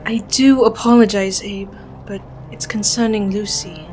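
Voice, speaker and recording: A determined Victorian lady investigator, played by an actress in an audio drama. Her speech is formal and earnest, at a medium female pitch, carrying grief and resolve, with faint music behind. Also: fairly even intonation, measured pace, darkish tone, close to an everyday voice, rough recording. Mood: polite concern.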